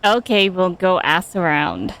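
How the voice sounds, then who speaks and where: A woman's voice: fairly low pitch, sing-song, a little slow, fairly bright tone, clear, resonant tone. A woman giving casual, giggly and reactive commentary as she streams a video game.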